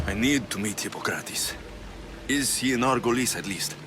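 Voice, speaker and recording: Casting: composed, curious warrior lead male actor for a video game; middle pitch, wry, direct delivery. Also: moderate pace, music in the background, close to an everyday voice. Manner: direct, calm, dryly wry. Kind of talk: video game dialogue acting.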